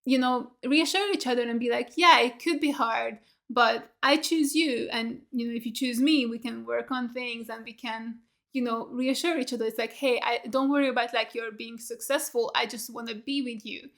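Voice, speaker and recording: A chatty young woman in her twenties talks through a show recap from a small home studio, her voice sitting a little high. She fills her talk with "like" and "right?", and her throat sounds a bit tired. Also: fairly even intonation, normal speed, a bit bright. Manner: chatty, lots of fillers and rhetorical questions, gestures with hands. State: voice getting tired. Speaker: reaction video host. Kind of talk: casual recap chat.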